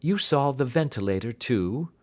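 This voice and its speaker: A man voicing a keen, assured detective in an audiobook, explaining things precisely and deliberately. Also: mid-range voice, animated, pitched above the performer's own voice, close to an everyday voice. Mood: keen, reasoning. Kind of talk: audiobook character dialogue.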